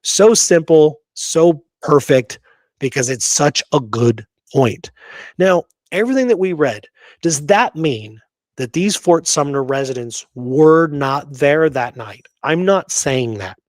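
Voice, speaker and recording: A man's voice: a little high, lively intonation, slow, no echo. A man hosting a history show, talking casually and emphatically, with pointed rhetorical questions.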